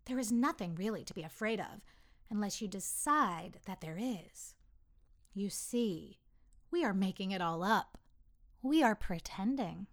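Woman playing a slow, patient, kindly God. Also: medium pitch, animated. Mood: reassuring. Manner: gentle, deliberate, explanatory. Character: kindly, patient, smiling God, wise and amused.

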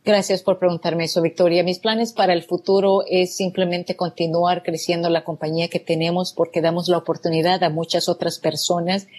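A woman's voice: on the low side, flat delivery, brisk, hardly any pauses, darkish tone. A woman from El Salvador, a business owner answering interview questions in an earnest, grateful way. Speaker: guest, real estate business owner.

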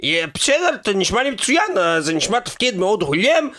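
A man puts on a Russian immigrant newly hired as a demon, speaking with a thick Russian accent. He rants and curses in a high, bright, strained voice. When he is not ranting, he turns stiff and overly polite. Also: big pitch swings, heavily acted. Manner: shouting, ranting, cursing in Russian.

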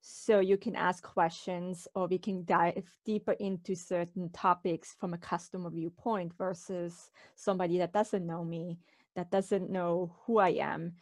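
A woman's voice: lowish voice, fairly even intonation, measured pace, no echo. A middle-aged woman from Switzerland hosting a podcast in a conversational style. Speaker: podcast host.

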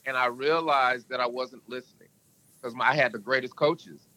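A man's voice: steady tone, normal speed, sharp tone, poor audio. A man guest on a podcast, talking in a casual, emphatic, storytelling way. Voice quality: can go deep. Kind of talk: casual interview talk.

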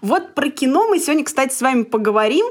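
A woman's voice: sits high, animated, fast talker, breathy edge. A woman hosting a podcast with a playful, teasing, theatrical manner, chatting casually with her co-hosts. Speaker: podcast host. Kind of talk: playful scripted-sounding intro and casual banter.

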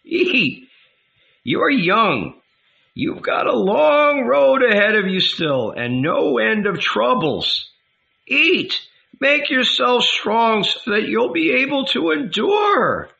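A man voicing a fierce, bitter, toothless old village woman with a shrill, screeching voice, in a dull lo-fi recording. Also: big pitch swings, takes it slowly, vocal fry, bright, forceful tone, full character voice. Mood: coaxing. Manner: swings from motherly coaxing to furious ranting.

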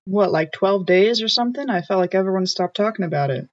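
Woman, medium pitch, casual tabletop roleplay, inquisitive, conversational, slightly lo-fi sound. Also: lively intonation, fast. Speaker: tabletop RPG player.

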